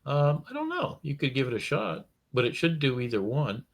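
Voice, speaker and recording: A man chatting casually as a software expert, enthusiastic and exclamatory, rambling as he explains. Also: mid-range voice, huge pitch swings, moderate pace, creaky. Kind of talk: casual live tutorial chat.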